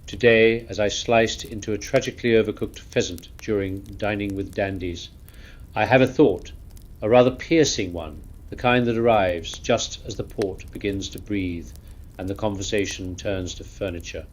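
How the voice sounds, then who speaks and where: A man's voice: fairly low pitch, fairly even intonation, moderate pace, close to an everyday voice. A man playing a world-weary old lord, delivering a florid, disdainful comic monologue in a pompous, theatrical manner. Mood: haughty, musing. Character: pompous, world-weary old aristocrat lamenting lost elegance. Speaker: host.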